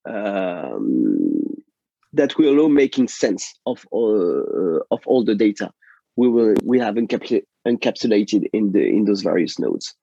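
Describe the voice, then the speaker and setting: Hoarse French man, halting speech. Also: fairly low pitch, big pitch swings, warm tone, no echo, dull audio. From France. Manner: halting, many fillers and restarts. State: losing his voice. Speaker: guest. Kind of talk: technical interview.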